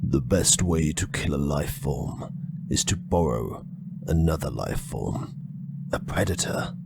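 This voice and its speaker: A man playing a solemn, cold alien in a science fiction audio drama, speaking in a measured, formal way. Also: extremely low pitch, animated, gravelly, heavy background noise, full character voice. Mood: cold, instructive. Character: male alien telepath, slow, solemn, cold adviser on killing.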